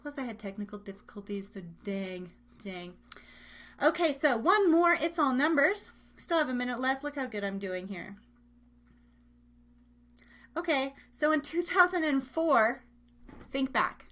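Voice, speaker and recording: A woman's voice: high-pitched, animated, measured pace, pauses often, a bit bright. A woman hosting a live internet radio show, chatting casually in a playful, rambling way and repeating her phrases as she runs games.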